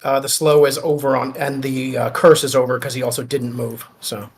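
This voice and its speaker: A man's voice: mid-range voice, flat delivery, moderate pace, breathy edge. A man playing a tabletop game, casually explaining rules and tactics. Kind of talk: casual game strategy talk. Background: music.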